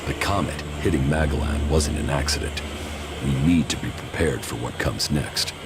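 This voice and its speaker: Very deep gravelly stoic game hero. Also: male voice, normal speed. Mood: grim, firm. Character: stoic, deep gravelly-voiced male ex-commander, blunt lone hero. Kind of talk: video game dialogue. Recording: loud music behind.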